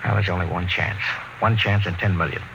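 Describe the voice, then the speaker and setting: Grave radio-drama space captain. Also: male voice, sits low, fairly even intonation, a little fast, vocal fry, highs cut off, noisy background. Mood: grim, resolute. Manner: terse, grave, authoritative. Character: weary, commanding male space expedition captain, narrating his story. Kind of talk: old-time radio drama acting and narration. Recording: vintage radio recording with music.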